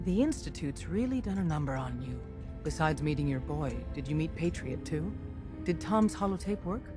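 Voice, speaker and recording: Brisk, tough resistance leader. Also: female voice, low voice, lively intonation, over music. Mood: surprised, probing. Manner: clipped, businesslike, commanding. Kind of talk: video game dialogue acting.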